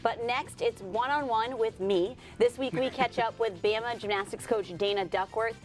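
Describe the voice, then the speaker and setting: A woman's voice: a little high, moderate pace, faint music behind. A woman anchoring a sportscast, reading scripted news and teasers.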